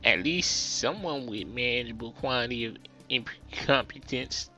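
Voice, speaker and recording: A man's voice: mid-range voice, expressive, a little slow, sharp tone, faint music behind, pitched above the performer's own voice. A man voicing a tiny, furious female officer who speaks stiffly and formally with a scornful bark.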